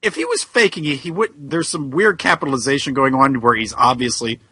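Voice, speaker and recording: A man co-hosting a podcast in casual comedic banter, with animated, exaggerated reactions. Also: medium pitch, brisk, husky, no echo. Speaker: podcast co-host.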